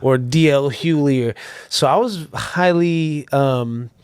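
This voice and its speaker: A man in his forties, a comedian guest on a casual podcast, telling animated stories and jumping into quick voices. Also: clean tone, dry recording, clean studio sound. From Canada. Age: 40s. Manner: animated storyteller, jumps into high-pitched impressions.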